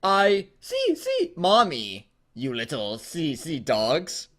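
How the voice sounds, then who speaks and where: A broken animatronic pirate fox in a fan story reading. The voice is pushed high and swoops about, stuttering and glitching through hammy pirate talk. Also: male voice, wildly swooping pitch, slow, a bit bright, exaggerated voice. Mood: pleading, halting.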